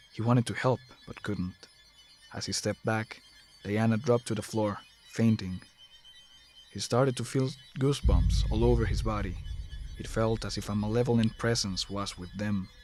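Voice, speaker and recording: A man's voice: fairly low pitch, darkish tone. A man reading scripted third-person narration for a dramatic audio story.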